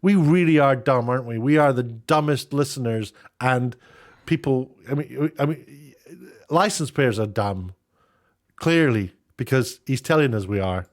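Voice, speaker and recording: A man's voice: medium pitch, measured pace. British male commentator, casual and opinionated, mocking delivery with an incredulous, exasperated edge. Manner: sarcastic, mocking. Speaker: YouTube commentator.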